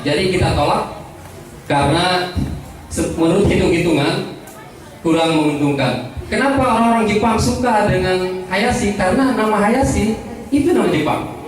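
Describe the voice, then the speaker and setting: An Indonesian man giving a motivational talk to students, joking and asking the crowd questions. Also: on the high side. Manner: joking, asks the audience questions. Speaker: motivational speaker. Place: large school hall. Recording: very echoey hall, speaker far from mic. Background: students laughing and reacting.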